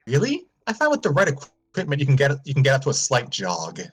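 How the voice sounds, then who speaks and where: A man's voice: medium pitch, huge pitch swings, fast, a bit bright, slightly rough. A man reading fan fiction aloud on a live stream, breaking off for comic asides.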